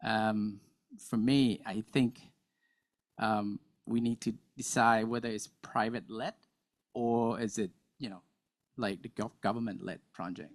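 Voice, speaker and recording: Panelist man, lowish voice, casual and hesitant. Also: fairly even intonation, unhurried. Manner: informal, chatty, hesitant phrasing. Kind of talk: panel discussion.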